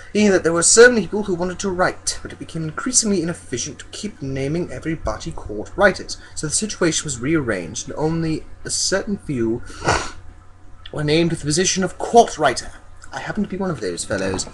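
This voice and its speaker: A short, good-natured rat-man writer played by a man chats and explains in a mischievous storybook manner. The voice sits on the high side and swings in pitch, with some noise on the amateur recording. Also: sing-song, a little fast, low-quality recording. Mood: earnest explaining.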